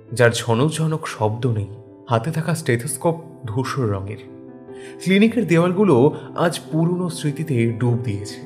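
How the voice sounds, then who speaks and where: A man's voice: medium pitch, darkish tone. A man giving a scripted narration of a story. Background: background music.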